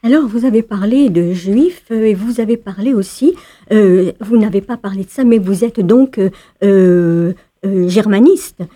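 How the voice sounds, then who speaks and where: A woman's voice: mid-range voice, a little slow, dark tone. A woman interviewer on the radio asking long, winding questions with frequent fillers.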